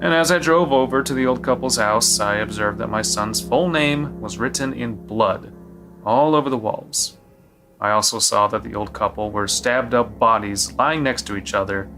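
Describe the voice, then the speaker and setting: A man's voice: medium pitch, normal speed, music in the background, close to an everyday voice. A man playing a resigned father who explains horrifying things matter-of-factly in a scripted horror audio drama.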